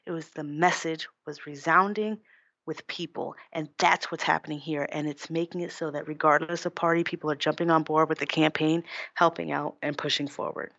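A woman with an American accent and a notably low voice gives an interview as a candidate. She explains her points earnestly and with emphasis. The sound is slightly dull and roomy. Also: fairly even intonation, a little fast, gravelly, fairly bright tone. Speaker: political candidate being interviewed. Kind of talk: conversational political interview.

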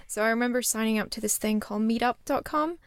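A woman's voice: on the high side, fast talker, clean tone, dry recording, clean studio sound. A young woman from Singapore, chatting as an interview guest with lots of fillers and restarts. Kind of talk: casual interview chat.

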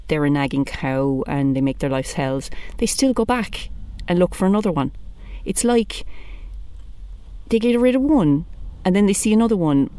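A woman's voice: on the low side, mellow, dry recording. A woman in her thirties with an Irish accent, doing a chatty, blunt stand-up monologue. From Ireland. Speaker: comedian.

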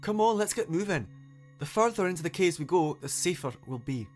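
A man's voice: a little high, lively intonation, fairly quick, darkish tone, soft background music, pitched above the performer's own voice, close to an everyday voice. A man voicing an earnest, chatty, easily upset young unicorn in a story, speaking with feeling and some hesitation. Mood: cheerful, confident. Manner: stammering, hesitant, emotional.

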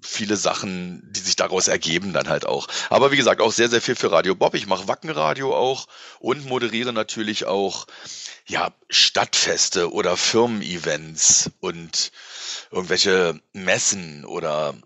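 A man's voice: sits low, normal speed, creaky, sharp tone, no room sound. A middle-aged man chatting casually as an interview guest in a rambling, colloquial, enthusiastic way, on slightly dull audio. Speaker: interview guest, event presenter and moderator.

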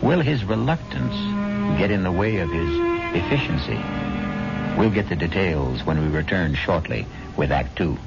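A man hosting a radio mystery series with deliberate, wry, theatrical and suspenseful scripted narration. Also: sits low, animated, gravelly. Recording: dull 1970s radio broadcast, loud music.

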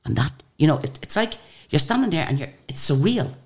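Irish female singer, guest; very deep, low voice; animated, chatty storyteller. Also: a little fast. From Ireland. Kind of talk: casual interview storytelling.

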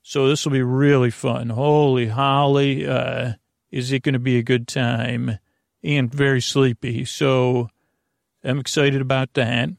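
A man hosting a podcast with a meandering, halting, digressive bedtime monologue. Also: mid-range voice, flat delivery, creaky, no echo, clean studio sound. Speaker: podcast host.

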